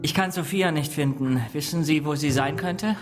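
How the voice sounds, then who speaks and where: A man's voice: fairly high pitch, moderate pace, over music, lightly acted. A man playing an earnest, self-doubting amateur investigator who narrates and talks in a radio crime drama. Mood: earnest, reflective. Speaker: narrator and protagonist.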